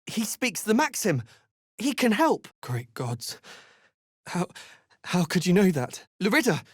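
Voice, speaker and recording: A woman voicing a desperate deep gnome in a video game, urgent and exclaiming. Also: sing-song, unhurried, husky, no room sound, clean studio sound. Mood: frantic, urgent. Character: frantic, desperate deep gnome pleading for help. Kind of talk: video game character acting.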